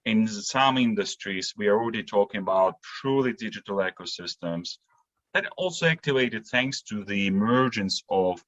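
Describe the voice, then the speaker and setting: Low-voiced man, muffled audio. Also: lively intonation, normal speed, fairly bright tone. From Latvia. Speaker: podcast guest, industry consultant. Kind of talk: interview answers, explanatory talk.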